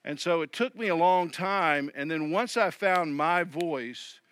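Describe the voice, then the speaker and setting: A middle-aged pastor giving a sermon in a conversational way, often voicing the thoughts of others. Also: male voice, on the high side, measured pace, a bit bright, a little hiss or hum. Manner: conversational preaching with quoted inner voices.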